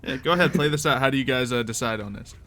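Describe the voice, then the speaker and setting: A man running a tabletop game, narrating scenes off the cuff in a casual, halting way. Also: mid-range voice, crowd noise. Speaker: game master.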